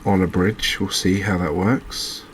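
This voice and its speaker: A man giving soft-spoken ASMR commentary over a game, relaxed and musing, with unhurried pauses. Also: deep voice, slight background noise. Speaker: gaming video host.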